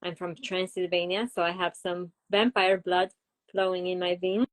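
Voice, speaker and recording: Woman, Romanian accent, middle-aged, interview guest, explanatory, halting fillers. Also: on the low side, little pitch movement. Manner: explanatory, uses examples, frequent fillers. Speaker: child psychologist guest. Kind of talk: interview conversation.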